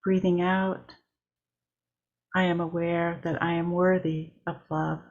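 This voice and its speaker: A woman worship leader guiding a meditation in a calm, measured way, with short deliberate phrases. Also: fairly low pitch, dull audio. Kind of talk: guided meditation.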